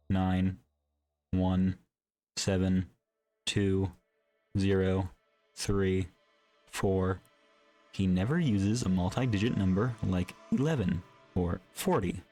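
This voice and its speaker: A man reading a scripted horror story as a narrator, with measured, deliberate delivery. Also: deep voice, a little mellow. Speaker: creepypasta narrator. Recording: music bed under the voice. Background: background music.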